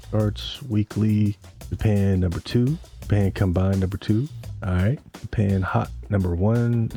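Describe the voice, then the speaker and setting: A very low-voiced man speaks in loose, slangy reaction-video chat, swearing now and then. His voice is worn and hoarse from a long session of talking, and faint music plays behind him. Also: darkish tone. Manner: slangy, laid-back, swears casually. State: hoarse, tired voice. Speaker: music producer reacting to an album. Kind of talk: casual reaction commentary.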